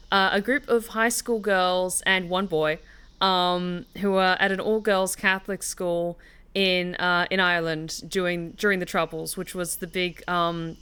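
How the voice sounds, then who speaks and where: Smooth-voiced woman streamer. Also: on the low side, a bit bright. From Australia. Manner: rambling, swears, many fillers. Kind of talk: casual let's-play commentary. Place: at home, in the UK.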